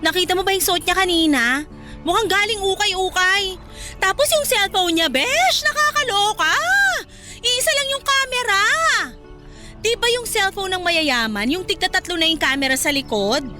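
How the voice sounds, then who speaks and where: A woman's voice: very high voice, lively intonation, normal speed, pushed and bright, faint music behind, full character voice. A woman playing a haughty, materialistic young Filipina in a radio drama, sassy, slangy and dismissive, with a Filipino accent. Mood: scornful, irritated.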